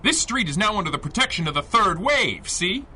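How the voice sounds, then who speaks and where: Mocking young street thug, really sharp high voice, self-righteous tough talk, radio play. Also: male voice, sing-song, a little fast, slight background noise, full character voice. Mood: self-righteous menace. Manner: taunting tough-guy talk. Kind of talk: 1930s radio drama acting.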